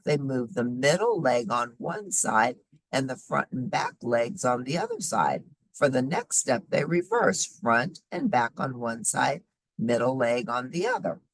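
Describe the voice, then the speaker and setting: A woman reading a children's nonfiction book aloud in a deliberate, explanatory way, emphasizing key words. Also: extremely low pitch, breathy edge, no echo, rough recording. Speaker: host reading children's science books aloud.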